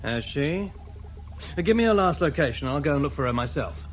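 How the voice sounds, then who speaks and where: Curt, animated security officer, man acting. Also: a little high, a little fast, slight background noise, lightly acted. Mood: businesslike. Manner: curt, impatient. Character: brisk, businesslike male ship's security officer. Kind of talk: British radio science fiction drama.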